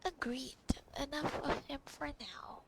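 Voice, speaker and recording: A woman's voice: high-pitched, fairly even intonation, takes it slowly, creaky, heavy background noise. A woman giving casual, reactive game commentary, reading lines aloud and murmuring informal, half-whispered asides. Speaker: gamer recording a playthrough. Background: game music.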